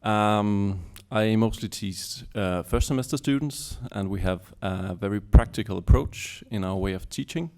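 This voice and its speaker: Male teacher, slightly low voice, informal introduction to a group. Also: unhurried, hardly any pauses, darkish tone, smooth. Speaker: journalism teacher. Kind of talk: informal self-introduction to an audience.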